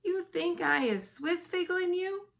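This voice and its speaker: A woman voicing a gentle, big-eared male giant who talks earnestly in mixed-up, ungrammatical words. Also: extremely high pitch, measured pace, gravelly, pitched above the performer's own voice, full character voice. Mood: offended.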